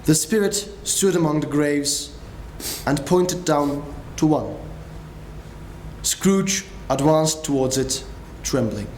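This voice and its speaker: A man narrating a classic story with grave, measured delivery in a dramatic reading. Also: fairly high pitch, expressive, darkish tone. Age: young adult. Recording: echoey room, faint noise.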